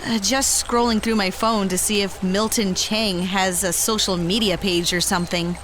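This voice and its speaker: A woman's voice: mid-range voice, fairly even intonation, normal speed, a bit bright, slight background noise, lightly acted. A woman playing a brash, wry young adventurer in an audio drama, with a slangy, offhand delivery.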